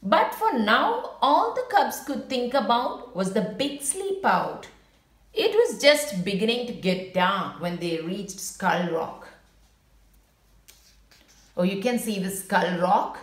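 A woman reading a children's picture book aloud in an expressive storytelling style, with short asides to young listeners. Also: mid-range voice, slow, reverberant.

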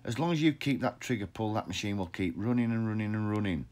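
Deep-voiced man explaining in a workshop. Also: expressive, fast, darkish tone. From England. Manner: plain-spoken, repeats words and restarts, imitates machine sounds. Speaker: pressure washer business owner. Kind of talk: informal how-to explainer. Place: indoor workshop with equipment shelves. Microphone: phone camera held close below the face.

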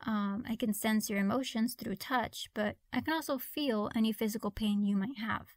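A woman's voice: on the high side, normal speed, no echo, studio quality, lightly acted. A woman playing a friendly, awkward young witch in a conversational audio roleplay, hesitant and apologetic. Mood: apologetic, earnest.

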